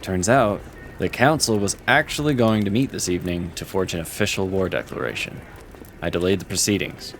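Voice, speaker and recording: An actor playing a stern, protective officer in an audio fantasy drama, speaking in a curt, controlled, authoritative way. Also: male voice, low voice, fairly quick, slight background noise. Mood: measured, urgent.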